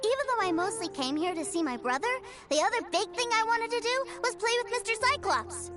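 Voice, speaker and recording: A woman's voice: very high-pitched, sing-song, fairly quick. A woman voicing a restless, naive little boy in a video game, talking in an eager childlike way, with game music behind. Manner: eager, wide-eyed, childlike.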